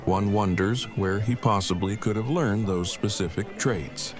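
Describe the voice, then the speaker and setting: A man playing a deadpan, wordy brainbox. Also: on the low side, measured pace, natural voice. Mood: drily amused. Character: brainy, verbose, drily witty oddball man. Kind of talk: audio drama acting. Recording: music and outdoor ambience behind.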